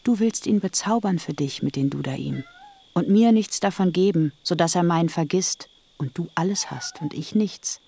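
A woman's voice: on the low side, normal speed, gravelly, mellow. An actress playing Rachel, a young barren woman pleading earnestly with her sister in a radio play.

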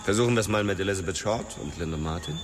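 An actor playing a blunt young detective who questions a suspect in a brusque, pressing way. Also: male voice, low-pitched, rapid-fire, over music, lightly acted. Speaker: police officer. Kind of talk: radio drama acting.

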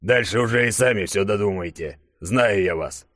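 A man's voice: lowish voice, normal speed, fairly bright tone, no room sound, clean studio sound. A man voicing a stern, irritable boss with a formal, commanding manner in an audiobook. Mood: curt.

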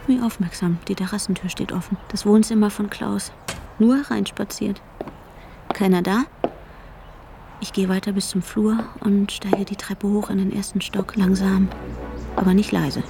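A woman's voice: mid-range voice, steady tone, moderate pace, gravelly, music in the background, close to an everyday voice. An actress in a radio drama playing a traumatised, obsessive woman who narrates her ordeal intensely and breathily, often dropping to a whisper. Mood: grimly defiant. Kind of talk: radio drama acting with inner monologue.

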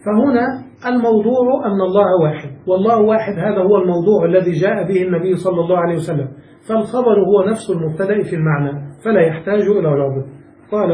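High-voiced man teaching grammar. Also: warm tone, reverberant, recorded from a distance, rough recording. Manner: patient, asks checking questions to students. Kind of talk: classical grammar lesson, explaining line by line.

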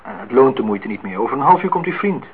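A man's voice: medium pitch, sing-song, fast, lightly acted. Acteur als stugge, gereserveerde man, blikkerige opname. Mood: reluctant, uneasy. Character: reserved, prickly, self-deprecating male amateur painter. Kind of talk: naturalistic radio drama dialogue. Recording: noisy, phone-like old radio recording.

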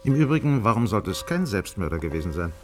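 A deep-voiced, opinionated doctor. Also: male voice, sing-song, quick pace. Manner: declarative, opinionated. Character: opinionated, freethinking male small-town doctor. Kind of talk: radio play acting. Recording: studio radio play with faint music.

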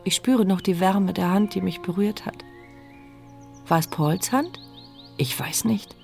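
A woman's voice: medium pitch, unhurried, creaky, soft background music. An actress playing a woman in a wheelchair who narrates her own fear in a tense, sardonic inner monologue, in a radio drama. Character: paralysed woman in a wheelchair, frightened and wry.

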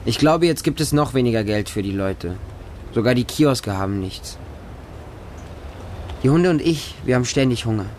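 An actor playing a frightened, devoted street child who lives with dogs, telling the story in short, simple sentences for a radio drama. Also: male voice, mid-range voice, darkish tone, slight background noise, natural voice. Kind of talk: first-person radio drama monologue. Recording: music under the voice. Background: music.

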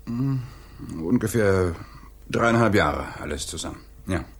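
An actor in his thirties playing a guarded, evasive working man under questioning in a radio play, hesitant and subdued, with a breathy husky voice. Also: low-pitched, lively intonation, measured pace, exaggerated voice. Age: 30s. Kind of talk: 1980s German radio play acting.

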